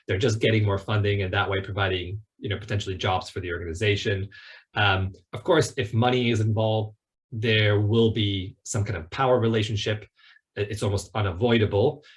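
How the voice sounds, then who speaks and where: A man answering thoughtfully in an online panel discussion, reflective and measured, qualifying his points. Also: fairly low pitch, fairly bright tone.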